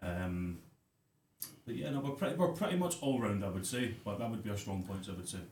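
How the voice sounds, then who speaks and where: A man's voice: lowish voice, lively intonation, fairly quick, darkish tone, echoey room. A Glaswegian man, a barber and radio guest, chatting casually with many fillers and repeated phrases. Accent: Glaswegian Scottish. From Glasgow, Scotland.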